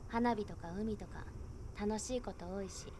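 A woman's voice: medium pitch, moderate pace, background noise, lightly acted. An actress voicing a frank, cheerful teenage schoolgirl who talks candidly and teases a little. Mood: candid, teasing. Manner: candid, gently teasing. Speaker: student. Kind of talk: voice drama acting.

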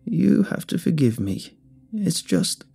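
A man voicing a deranged, lecherous old woman in a horror story, with a soft, crackling, creepily sweet voice. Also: fairly high pitch, sing-song, takes it slowly, creaky, breathy edge, voice pushed up, exaggerated voice. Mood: pleading, sweet.

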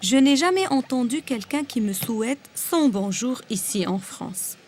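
Brief: woman from Lebanon, Lebanese accent, rolled R, native Arabic speaker, reading a personal essay, fairly high voice. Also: lively intonation, unhurried, darkish tone. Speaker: guest reader.

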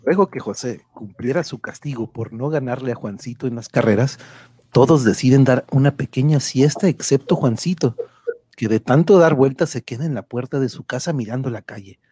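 A man narrating an amateur radio drama from a script, in a somewhat halting reading. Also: mid-range voice, mellow, breathy edge, no echo.

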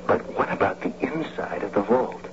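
A man's voice: lowish voice, lively intonation, moderate pace, background noise, lightly acted. An actor playing a matter-of-fact man who explains technical details in an old-time radio drama. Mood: businesslike. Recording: dull, humming old radio recording.

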